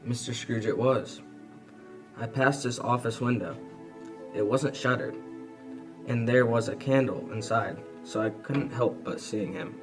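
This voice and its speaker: A man playing an easygoing husband, conversational and measured, in an old-time radio drama. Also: mid-range voice, over music, lightly acted, rough recording. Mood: mild, musing. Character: easygoing husband sharing news with his wife.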